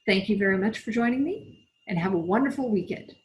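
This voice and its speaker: A woman's voice: mid-range voice, lively intonation, a little fast, poor audio. A woman reading as an author, in the style of scripted audiobook narration. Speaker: author reading from own novel.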